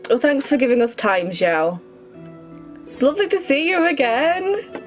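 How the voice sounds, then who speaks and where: A woman's voice: high voice, big pitch swings, measured pace. A woman doing casual, chatty gameplay commentary, thinking aloud and reacting expressively as she plays. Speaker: gaming streamer. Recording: slightly dull, game music behind. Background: faint game music.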